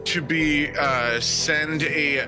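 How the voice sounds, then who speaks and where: A man improvises as a player at a tabletop game, in a slightly high voice. He speaks slowly and haltingly, working out his character's actions aloud. Music plays clearly behind him. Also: fairly even intonation. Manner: halting, thinking out loud. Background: background music.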